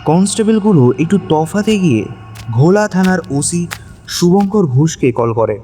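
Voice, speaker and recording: A male narrator tells a suspense story in a mellow, fairly high voice. He delivers it in measured dramatic phrases over soft background music. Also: lively intonation.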